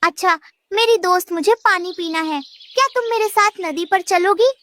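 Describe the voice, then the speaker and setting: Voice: very high-pitched, normal speed, sharp tone. A performer voicing a sweet, innocent little girl bird in a cartoon, with a childlike, earnest manner. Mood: curious. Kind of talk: animated story dialogue.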